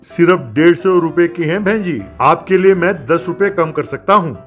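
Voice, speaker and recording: A man voicing an earnest, persuasive village tradesman in an animated comedy story. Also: a little high, moderate pace, lo-fi sound, faint music behind, natural voice. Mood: pleading. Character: earnest village utensil seller turned trendy barber.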